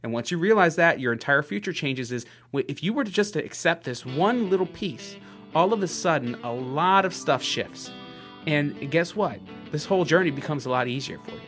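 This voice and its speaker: A man in his late thirties co-hosting a podcast, talking in an earnest, halting way with lots of fillers and restarts. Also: on the high side, expressive, fairly bright tone, faint music behind. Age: 30s. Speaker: podcast co-host. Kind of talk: casual motivational podcast chat.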